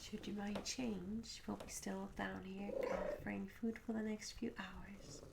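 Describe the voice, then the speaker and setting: Woman as shy young tavern maid, soft breathy whisper, crackly fry, polite archaic phrasing, hesitant, fantasy ASMR roleplay, noisy ambience behind. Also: medium pitch, flat delivery, a little slow. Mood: gentle, hospitable. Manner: gentle, hesitant, old-fashioned formal wording. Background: tavern ambience sounds.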